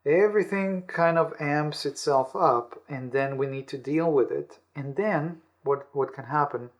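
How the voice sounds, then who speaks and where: Man explaining things in halting, repeated steps. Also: a little high. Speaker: interview guest, audiobook author. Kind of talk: explanatory interview talk on self-help.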